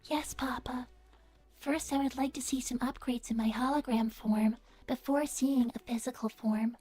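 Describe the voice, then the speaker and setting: A woman's voice: high-pitched, steady tone, normal speed, warm tone. A woman voicing a polite, childlike AI hologram daughter, earnest and pleading, with stilted, careful delivery in a livestream role-play. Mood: polite, hesitant. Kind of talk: in-character livestream chat.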